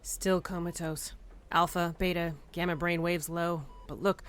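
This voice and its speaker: A woman voicing a calm, measured hospital doctor. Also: fairly low pitch, lightly acted. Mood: clinical. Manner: measured, clinical.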